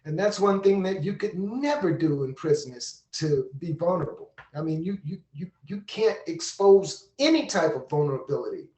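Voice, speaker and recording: In a very echoey room, a man speaks in a somewhat high voice. He gives earnest, reflective testimony, repeating words as he builds his thought. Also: lively intonation, darkish tone. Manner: earnest, deliberate repetitions. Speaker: monologuist on a panel.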